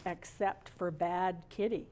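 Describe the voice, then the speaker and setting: A woman reading aloud expressively and deliberately as a storyteller in the role of Mrs. Claus, with bits of casual chat. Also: lowish voice, steady tone, warm tone, a little hiss or hum.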